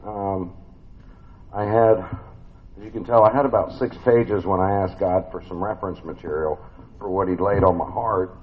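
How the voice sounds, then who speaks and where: A man's voice: deep voice, steady tone, normal speed, lo-fi sound. A man with a big voice preaching a family sermon in a church, conversational and folksy, with many fillers and restarts.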